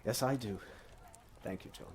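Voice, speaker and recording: An actor playing an overworked, secretive Victorian gentleman doctor, weary and guarded, in a stage drama. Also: medium pitch, normal speed, noisy background, lightly acted. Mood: apologetic. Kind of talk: period stage drama acting.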